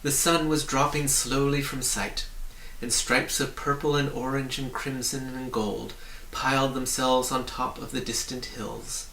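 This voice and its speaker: A man with a medium-pitched voice narrates a storybook. He is recorded indoors in a roomy space, a little away from the mic, with faint hiss behind him. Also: level tone, unhurried, gravelly, pushed and bright, distant mic. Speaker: audiobook reader.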